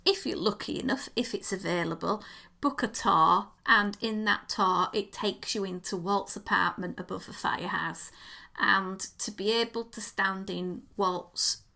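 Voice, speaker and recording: A woman co-hosting a casual podcast, chatty and gushing, with a voice going croaky. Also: mid-range voice, a little slow, pushed and bright. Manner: gushing, enthusiastic about details. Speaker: podcast co-host.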